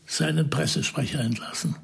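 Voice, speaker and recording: A man playing a shrewd, unflappable police detective in a radio crime drama, explaining things in a measured, wry way. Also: on the high side, creaky, husky, heavily acted. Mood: probing, calm. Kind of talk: naturalistic radio drama dialogue.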